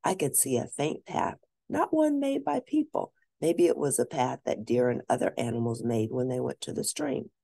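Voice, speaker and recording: Female children's book narrator, low mellow register, highly animated and expressive read-aloud delivery. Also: gravelly, no room sound. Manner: animated, deliberate, lingering on key words. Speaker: storyteller reading a children's book.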